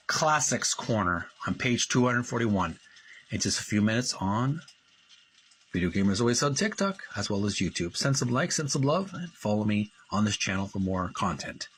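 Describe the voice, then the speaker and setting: A man hosting a video channel, reading aloud and commenting in a halting way, often repeating and correcting his phrases. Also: mid-range voice, a bit bright, slight background noise. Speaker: host of a retro video game channel. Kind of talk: read-aloud book walkthrough with commentary.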